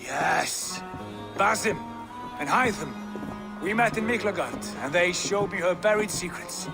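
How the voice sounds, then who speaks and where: A hearty, boastful Viking prince. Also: male voice, high-pitched, animated, a little slow, creaky, full character voice. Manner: boisterous, hearty, grandiose storytelling. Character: boastful young Viking prince, loud and jovial. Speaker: game character. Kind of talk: cinematic game acting. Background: faint game score music.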